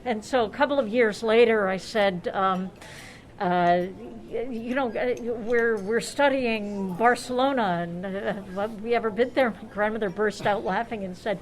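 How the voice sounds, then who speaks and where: A woman's voice: medium pitch, moderate pace, low-quality recording. A woman hosting an informal gathering, chatting and joking in a rambling way as she welcomes the room. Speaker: event host. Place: busy room at a club luncheon. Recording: lively luncheon crowd around the voice.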